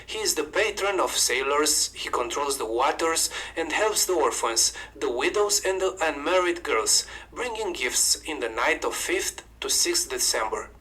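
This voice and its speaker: A man's voice: on the high side, pushed and bright, low-quality recording. A man with a Romanian accent narrating a scripted video about folk customs in an upbeat presenter manner.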